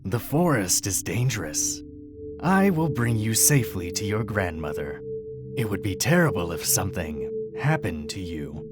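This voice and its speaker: A man voicing a big bad wolf in a dark fairy tale, sly, cruel and sinister, with a low growl. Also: very animated. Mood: scheming. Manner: slow, sinister, drawn-out. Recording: music behind the voice.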